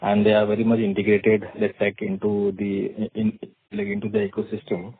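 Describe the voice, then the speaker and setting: A man with an Indian accent, a financial analyst asking questions by phone on an earnings call in a polite, halting way. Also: fairly low pitch, slightly rough. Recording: muffled, narrow phone line.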